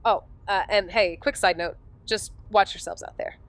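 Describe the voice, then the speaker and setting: A woman playing a wry, deadpan young amateur detective in an audio drama, both in dialogue and noir narration. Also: mid-range voice, moderate pace, natural voice. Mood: guarded, earnest.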